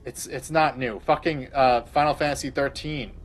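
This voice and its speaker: A man's voice: mid-range voice, fairly even intonation, moderate pace. A man streaming a game, rambling crudely in casual commentary and laughing often.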